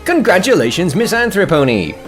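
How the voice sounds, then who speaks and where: Theatrical man with swooping, animated pitch. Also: brisk, faint music behind. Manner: theatrical, mock-grand, playful alliterative flourishes. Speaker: host. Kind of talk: scripted comedic narration.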